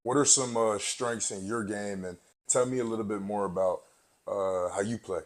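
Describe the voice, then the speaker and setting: A man hosting a podcast, asking questions in a relaxed, conversational way and backing his guest with quiet affirmations. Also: on the low side, normal speed. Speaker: podcast host. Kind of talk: conversational interview.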